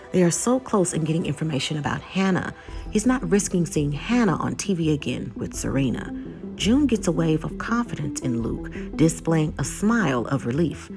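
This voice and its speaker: Low-voiced woman narrating over loud music. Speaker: YouTube host recapping a TV episode. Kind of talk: scripted recap narration.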